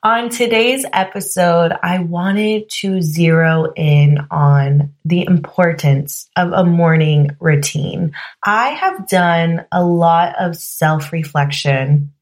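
A woman with a slightly low voice hosts her own podcast alone, still a little hoarse. She talks in a candid, chatty way, full of fillers like "you know" and "like". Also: slow pace. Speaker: podcast host. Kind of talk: casual solo podcast monologue.

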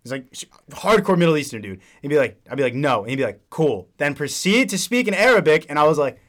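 A man's voice: a little high, sing-song, fast talker, fairly bright tone. A young adult man bantering casually with friends in a slangy, teasing, profane manner.

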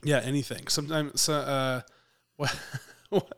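A man co-hosting a podcast in casual chat, a little hoarse from a cold, chatty and quick to laugh. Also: mid-range voice, fairly even intonation, fairly bright tone. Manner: chatty, laughs often, restarts phrases. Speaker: podcast co-host.

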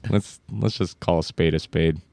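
A man's voice: deep voice, fairly even intonation, normal speed, gravelly, darkish tone, dry recording. A man co-hosting a podcast, teasing his friends with casual, crude banter. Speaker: podcast co-host.

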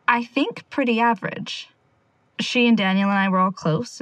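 A woman playing a wry young amateur investigator in an audio drama, quietly talking through her notes in a rambling, sardonic, confiding way. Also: mid-range voice, no echo, highs cut off. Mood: wistful. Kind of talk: audio drama monologue, casual confiding log.

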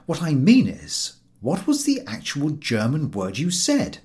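A man voicing a dry, gently mocking English gentleman in an audiobook, explaining in a measured deadpan. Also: a little high. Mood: dry amusement. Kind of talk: audiobook character dialogue.

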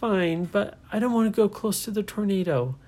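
Brief: a mother doing a timid whiny little girl, high and complaining. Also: lively intonation, moderate pace, warm tone, close to an everyday voice. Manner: whiny, pleading.

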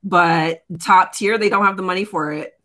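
Opinionated woman, lowish voice. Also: moderate pace, a bit bright. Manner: opinionated, rhetorical questions. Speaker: podcast co-host. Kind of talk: casual sports podcast chat.

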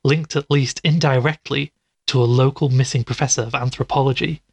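An actor playing an earnest, curious podcaster investigating a mystery in an audio drama, with a husky voice. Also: male voice, mid-range voice, fairly even intonation, brisk, no room sound. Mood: earnest narrating. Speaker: podcast host. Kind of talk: audio drama dialogue and narration.

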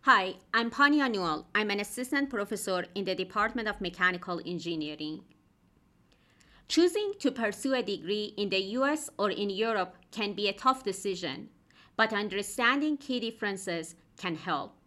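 A woman hosts an academic podcast with a bright, crisp voice. She reads her prepared introduction in a clear, measured way, then puts questions to her guest. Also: mid-range voice, lively intonation. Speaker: podcast host, assistant professor.

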